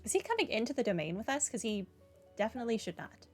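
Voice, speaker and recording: A woman's voice: on the high side, very animated, fast, soft background music. A woman giving casual playthrough commentary with a dry, sarcastic manner. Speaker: gamer, playthrough host.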